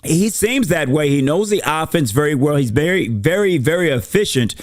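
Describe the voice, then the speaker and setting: A man with a hoarse, worn voice, co-hosting a casual football talk show and explaining things in a measured way. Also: fairly high pitch, lively intonation, no echo, clean studio sound. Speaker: co-host.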